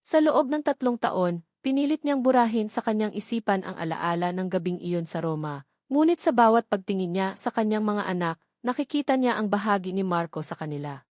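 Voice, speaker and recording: A woman's voice: medium pitch, lively intonation, fairly quick, darkish tone, no room sound, clean studio sound. A woman reading a scripted story as its narrator.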